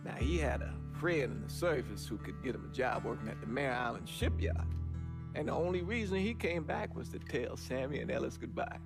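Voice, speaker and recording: An aged, worldly-wise priest in a game cutscene. The man's voice sits at a middle pitch and moves in a measured, reflective way. Music plays clearly behind him. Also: expressive. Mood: reflective, sombre. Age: older. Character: elderly, gentle, worldly-wise parish priest. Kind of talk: cinematic video game acting.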